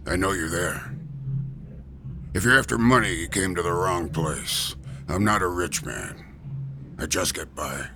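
An actor playing a wary middle-aged stonemason in a radio drama, with a gravelly voice. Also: male voice, sits low, measured pace, pauses often, noisy background.

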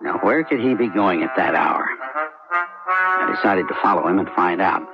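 A calm small-town sheriff. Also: male voice, low-pitched. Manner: calm, measured, plainspoken. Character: steady small-town male sheriff narrating. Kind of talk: 1970s radio drama narration. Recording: lo-fi old radio broadcast with music.

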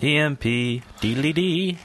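A man chatting casually as a podcast host, thinking aloud in a loose, halting way. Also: a little high, fairly bright tone.

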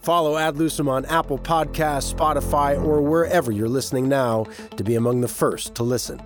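A man's voice: mid-range voice, brisk. Man presenting a podcast over music. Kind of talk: scripted podcast promo narration.

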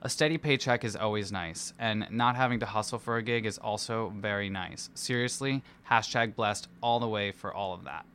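A man's voice: fairly low pitch, moderate pace, few pauses, fairly bright tone, a little hiss or hum. A man reading his personal essay aloud in a theatrical, playful, self-deprecating manner. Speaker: musical theatre performer and dance captain.

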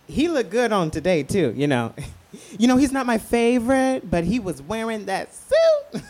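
High, sassy grand dame. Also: male voice, a little mellow, heavily acted. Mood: sassy, needling. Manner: sassy, campy, theatrical scolding. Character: fierce, fabulous grand-dame queer radio producer and co-host. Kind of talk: radio play acting.

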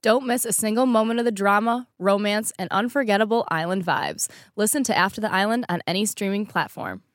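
A woman's voice: mid-range voice, brisk, few pauses, no room sound. Dry, close-recorded woman reading a promo. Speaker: podcast co-host. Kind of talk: scripted promo read.

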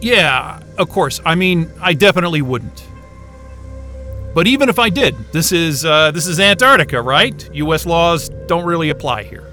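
An actor playing a nervous, evasive astrophysicist in an audio drama, casual and defensive, with stammers. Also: male voice, a little high, animated, normal speed, bright, forceful tone, soft background music. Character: evasive, nervous male astrophysicist at a polar station. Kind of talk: naturalistic audio drama acting.

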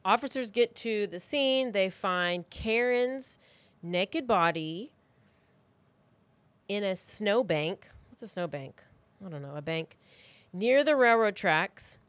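A woman podcast co-host telling a true crime story in an informal, conversational way, with halting asides. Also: mid-range voice, expressive, a bit bright, dry recording.

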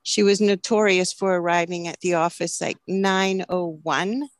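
A woman's voice: fairly low pitch, fairly even intonation, measured pace, no room sound. Chile-raised woman guest in her fifties, storytelling, laughs easily, dry mic. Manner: chatty, laughs often, storytelling. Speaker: flower farmer, guest. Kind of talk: casual interview.

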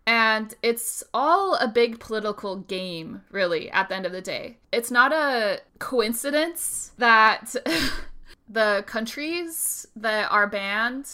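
A woman co-hosting a casual podcast who explains things in a measured way. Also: fairly high pitch, expressive, pushed and bright, clear, resonant tone. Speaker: podcast co-host.

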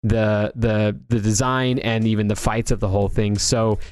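A man's voice: fairly low pitch, level tone, normal speed, darkish tone. A man hosting a casual pop-culture discussion, talking in a loose, rambling way and often repeating himself. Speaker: podcast host. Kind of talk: casual discussion of video game movies.

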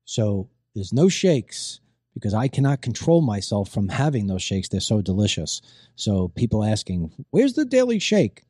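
A man's voice: lowish voice, very animated, normal speed, warm tone, breathy edge, dry recording, studio quality. A middle-aged man hosting a casual talk show, chatty and rambling, his voice strained as it recovers from being lost. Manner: chatty, rambling, repeats himself. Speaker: host.

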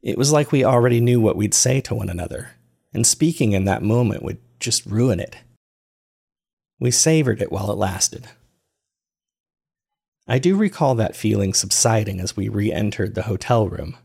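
A man narrating a first-person audio drama as a weary, reflective man, measured and introspective in his storytelling. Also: on the low side, gravelly, darkish tone, husky. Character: weary man recounting strange events, reflective and uneasy. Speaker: narrator and host of a fiction podcast.

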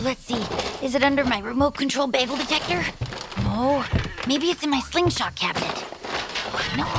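A woman's voice: a little high, heavy background noise, close to an everyday voice. A woman voicing a whimsical, earnest young female inventor in a family audio drama. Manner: whimsical, earnest, talks to herself. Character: dreamy, plucky young female inventor eager to fly.

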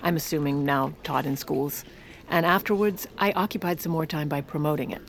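A woman's voice: deep voice, brisk. An actress playing a self-important, sardonic former First Lady telling her memoirs in an audio drama, theatrical and grand. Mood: wry, smug.

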